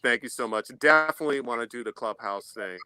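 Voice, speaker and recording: Deep man's voice, very bright tone, eager, lots of fillers, dry close sound. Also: fast talker, clean tone, no room sound. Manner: eager, repeats words, many fillers. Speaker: podcaster guest. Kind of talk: casual live-stream chat.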